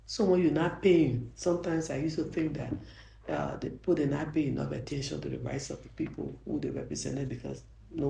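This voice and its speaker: An older Liberian woman speaks with a soft, gravelly voice, mellow in tone. She tells her story earnestly, restarting phrases and pressing her points home. The room around her gives a clear echo. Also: deep voice, slight background noise. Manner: earnest, frequent restarts, grows emphatic. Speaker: judge. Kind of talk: interview answers, reflective storytelling. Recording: roomy echo.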